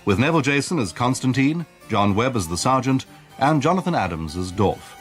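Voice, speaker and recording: A male radio announcer reads a scripted programme introduction. His mid-range voice has lively intonation. Soft harpsichord music plays behind him. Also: mid-range voice, a little fast.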